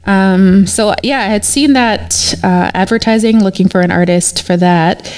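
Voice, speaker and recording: A woman's voice: mid-range voice, a little slow, a little mellow, clear, resonant tone. A young woman from Canada, an artist guest on a podcast, answering in a casual, hesitant way full of fillers.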